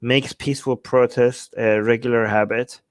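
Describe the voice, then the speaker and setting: A man's voice: mid-range voice, steady tone, normal speed, no room sound, clean studio sound. A man giving casual political commentary as a co-host, talking emphatically and often checking in with rhetorical questions. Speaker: talk show co-host.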